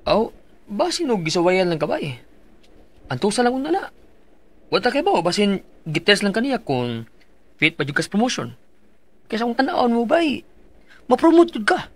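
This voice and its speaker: An actor with a Cebuano accent playing an excitable, encouraging male coworker in a radio drama. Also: high voice, moderate pace, long pauses, full character voice. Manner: animated and encouraging.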